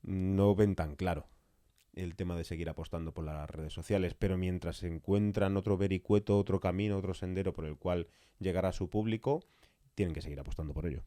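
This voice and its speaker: Male host, deep register, casual halting banter, dry studio acoustic. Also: steady tone, mellow, no room sound. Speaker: podcast host, interviewer. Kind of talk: casual interview hosting.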